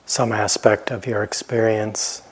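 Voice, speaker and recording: A man teaching a guided meditation in a gentle, unhurried manner, leaving long pauses between phrases. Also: lowish voice, level tone, breathy edge, low-quality recording.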